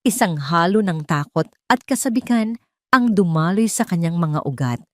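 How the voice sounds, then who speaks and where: A woman's voice: fairly low pitch, lively intonation, normal speed, no room sound. A woman narrating a scripted dramatic story.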